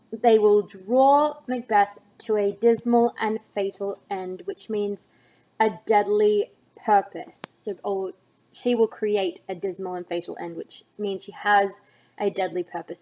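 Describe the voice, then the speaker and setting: A woman's voice: mid-range voice, a little mellow. A woman teacher giving plain, explanatory commentary on a text, as in a lesson. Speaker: English teacher. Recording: dull, muffled lo-fi recording.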